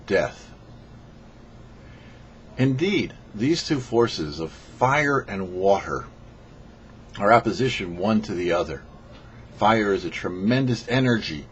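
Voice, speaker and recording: Low-voiced rabbi with lively intonation. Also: male voice. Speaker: rabbi, host of a weekly Torah portion show. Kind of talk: scripted religious teaching, read aloud. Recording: dull lo-fi audio with faint noise.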